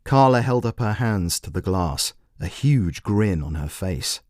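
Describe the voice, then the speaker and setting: A man's voice: on the low side, animated, a little slow, darkish tone, dry recording, clean studio sound. A man reading scripted short story narration as its author.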